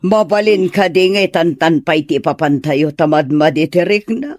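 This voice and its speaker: A woman's voice: sits low, moderate pace, a little mellow, no room sound, studio quality, soft background music, natural voice. A woman playing an ailing, meddling older mother with an Ilocano accent in a radio drama. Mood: plaintive.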